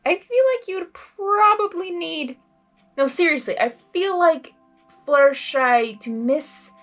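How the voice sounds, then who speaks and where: A woman reads a story aloud and adds expressive, rambling casual reactions. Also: extremely high pitch, takes it slowly, faint music behind. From Hungary. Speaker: fan fiction reader and commentator. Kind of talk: blind reading with casual reaction commentary.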